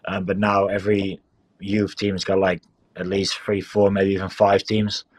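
A man's voice: sits low, completely flat delivery, unhurried, dry recording. A young Dutch man whose accent mixes Dutch with New Zealand English, answering in a laid-back, hesitant way with lots of "um" and "like". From Netherlands. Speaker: rugby player guest. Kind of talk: casual interview answers.

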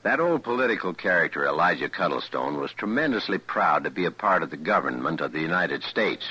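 A man's voice: low-pitched, very animated, fairly quick, loud music behind the voice, poor audio. A man narrating a scripted educational radio piece in an old-fashioned style, with didactic, emphatic enunciation.

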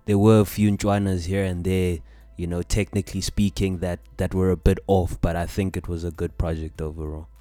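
Low-voiced man, measured podcast co-host. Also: flat delivery, dark tone, no room sound, soft background music. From South Africa. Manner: measured, thoughtful, laid-back. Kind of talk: casual chat.

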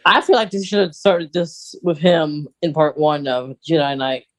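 A podcast co-host speaks casually in a medium-pitched voice, chiming in with reactions and comments. The sound is dry and close. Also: fairly even intonation, moderate pace, dry recording.

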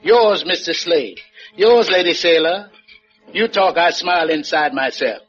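An actor playing a genial Caribbean hotel helper and singer in a radio drama, speaking in a flowery, effusive way. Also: male voice, a little high, unhurried, fairly bright tone, faint music behind. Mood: effusive, playful. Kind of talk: 1950s radio drama acting. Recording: dull lo-fi old radio recording.

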